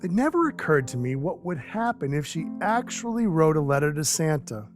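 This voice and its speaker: Man playing an awkward, higher-voiced dwarf helper over music. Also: sing-song, moderate pace. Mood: anxious, urgent. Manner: rambling, self-deprecating, awkwardly earnest. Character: awkward, gruff but kindhearted male Christmas dwarf helper. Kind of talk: audio drama acting with first-person narration. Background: music under the voice.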